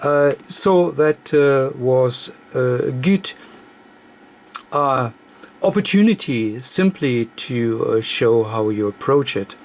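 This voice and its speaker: Slow, halting non-native English-speaking man. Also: medium pitch, animated, a little hiss or hum. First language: not English. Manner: halting, hesitant phrasing in short broken chunks. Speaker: host of electronics repair demonstrations. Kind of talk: hands-on technical demonstration, unscripted. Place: indoor electronics workbench.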